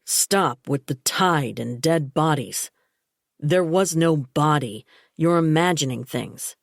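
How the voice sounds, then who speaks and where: A woman doing a calm, dismissive man. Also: sits low, slow pace, vocal fry, no echo, studio quality, heavily acted. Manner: flat, firm, steady.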